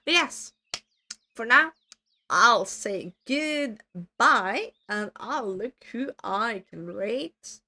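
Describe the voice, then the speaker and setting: A woman streamer chatting casually with her viewers, her voice worn hoarse from talking too much, rambling and self-deprecating. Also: on the high side, animated, very slow, pushed and bright.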